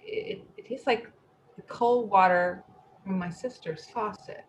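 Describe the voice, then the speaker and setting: A woman playing an anxious, slightly odd middle-aged woman who speaks haltingly in a play reading. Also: medium pitch, slight background noise, close to an everyday voice, low-quality recording. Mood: wistful, subdued. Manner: halting, repeating words, fretful.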